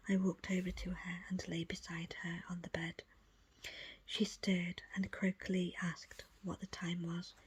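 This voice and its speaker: A woman reading a book aloud as ASMR, in a breathy, unvoiced whisper with a slow, hushed storytelling delivery. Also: lowish voice. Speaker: audiobook reader.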